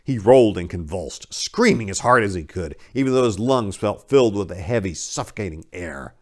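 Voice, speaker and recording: A man narrating a dark horror story as a dramatic reading, with theatrical, intense and deliberate phrasing. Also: fairly low pitch, animated.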